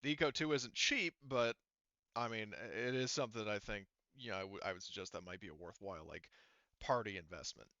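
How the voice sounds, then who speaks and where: Guy game mastering online, crisp voice, explains stuff casually, swears a bit, dry slightly lofi mic. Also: lowish voice, fairly quick, sharp tone, no echo, lo-fi sound. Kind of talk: casual explaining during an online tabletop roleplaying session.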